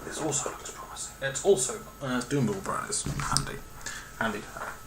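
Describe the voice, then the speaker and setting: A man's voice: mid-range voice, fairly quick, very noisy. A man live-streaming his miniature painting at a home desk, muttering to himself and chatting to viewers. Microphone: webcam perched on a lamp.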